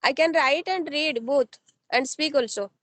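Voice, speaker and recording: High-voiced woman, Odia native speaker. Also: measured pace, no echo. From Odisha, India. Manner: simple, careful non-native phrasing. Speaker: guest, advocate. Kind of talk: casual English practice conversation.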